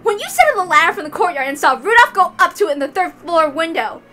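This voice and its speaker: A woman voicing an unhinged, boastful young woman detective in a dramatic story reading, frantic and shouting. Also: very high voice, animated, fast talker, soft background music, voice pushed up, full character voice. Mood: aggressive, accusing. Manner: frantic, shouting, cackling outbursts.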